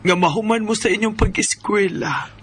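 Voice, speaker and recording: A woman's voice: mid-range voice, moderate pace, husky, close to an everyday voice. A woman playing a stern, reproachful middle-aged mother in a melodramatic radio drama. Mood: sorrowful, earnest.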